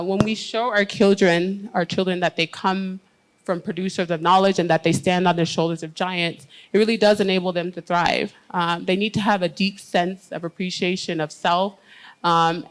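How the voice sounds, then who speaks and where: An American woman speaking on a conference panel. Her voice sits a little low, and she talks in an earnest, steady way, often pausing on "um" as she builds her points. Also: poor audio. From United States. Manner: earnest, steady, with frequent "um" fillers. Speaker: panel speaker, education leader.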